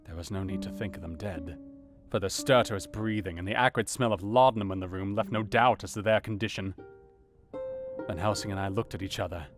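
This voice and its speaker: Man playing an earnest doctor, lowish voice, grave dramatic diary narration, anxious, brooding, guitar music behind. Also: a little fast, natural voice. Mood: tense urgency. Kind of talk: dramatic first-person narration in audio drama. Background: plucked guitar music.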